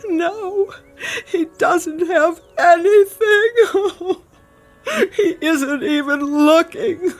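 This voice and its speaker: A woman's voice: very high-pitched, drawn-out words, soft background music, exaggerated voice. An actress playing a doting, possessive mother of a grown son, fussing emotionally in an old television drama. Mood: distraught. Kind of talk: mid-century television drama acting.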